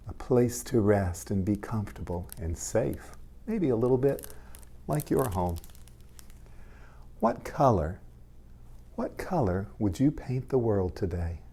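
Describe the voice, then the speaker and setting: A man, a pastor, reading aloud to young children in gentle, simple language and addressing them directly. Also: lowish voice.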